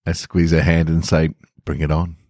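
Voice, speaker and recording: A man hosting a podcast, reading a short story slowly and reflectively and signing off casually. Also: very deep voice, little pitch movement, very noisy. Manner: slow, quiet, reflective reading. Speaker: podcast host and story reader.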